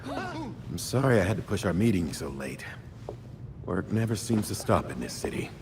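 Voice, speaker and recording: A deep-voiced veteran cop. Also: male voice, big pitch swings, moderate pace. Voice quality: plainspoken. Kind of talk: game cutscene acting. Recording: background noise.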